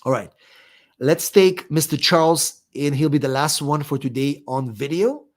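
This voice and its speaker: A man's voice: a little high, normal speed. A middle-aged man from Israel with an Israeli accent, an attorney hosting a live question-and-answer stream, talking casually with many fillers and restarts.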